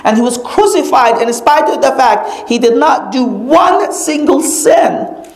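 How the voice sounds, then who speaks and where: A woman whose first language is Arabic, teaching a sermon on a Bible passage and stressing key phrases with slow, dramatic repetition. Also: high-pitched, lively intonation. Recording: echoey room.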